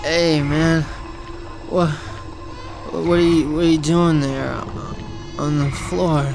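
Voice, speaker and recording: A strung-out addict drawls and calls out with giddy laughter. Every word is extremely slow, and the voice sits a little low. Game music plays behind, and the voice sounds far off. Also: female voice, fairly even intonation, creaky, exaggerated voice. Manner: laughing, drawn-out, calling out.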